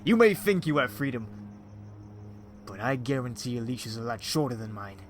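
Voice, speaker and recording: A man playing a short-tempered mob lieutenant in an audio drama, gruff, scornful and bossy. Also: medium pitch, big pitch swings, normal speed, faint music behind, natural voice. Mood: scornful, irritated.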